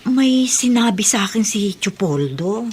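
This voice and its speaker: A woman's voice: mid-range voice, expressive, measured pace, a little mellow, husky. An actress in a Filipino radio drama playing a gossipy, suspicious woman friend who speaks in a hesitant, confiding way. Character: gossipy, cautious, suspicious adult female friend.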